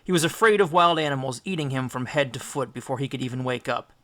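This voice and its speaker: A man narrates a storybook in a bright, forceful voice of middling pitch. His delivery is scripted and theatrical, with deliberate, careful phrasing. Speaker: audiobook reader.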